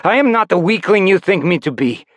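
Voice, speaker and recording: A man reading an audiobook voices a resentful, downtrodden man who has turned vengeful and shouts bitterly. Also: mid-range voice, sing-song, moderate pace, no room sound. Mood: furious. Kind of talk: audiobook character voice.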